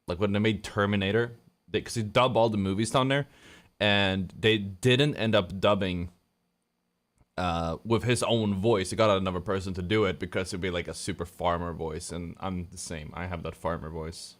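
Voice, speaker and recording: Rambling Swedish-accented streamer, lowish voice. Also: male voice. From Sweden. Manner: rambling, loose, many fillers and self-corrections. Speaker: streamer casting a tournament. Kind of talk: casual live-stream commentary.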